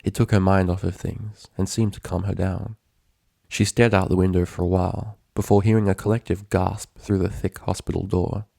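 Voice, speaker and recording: A man's voice: low voice, little pitch movement, normal speed, creaky, warm tone, dry recording. A man reading a story aloud as a narrator, in scripted literary prose. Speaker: fan fiction narrator.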